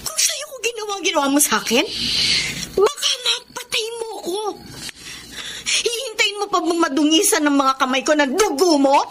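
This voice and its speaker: A woman's voice: very high-pitched, animated, moderate pace. A woman playing a betrayed, anguished wife in a radio drama, speaking bitterly and tearfully with intense emotion. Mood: hurt, accusing. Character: betrayed, anguished wife throwing her husband out. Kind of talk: Tagalog radio drama acting. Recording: noisy radio drama recording with ambience.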